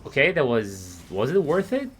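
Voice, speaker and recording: A man casually commentating a live esports match on his stream, relaxed and laughing often. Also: mid-range voice, moderate pace, a little hiss or hum.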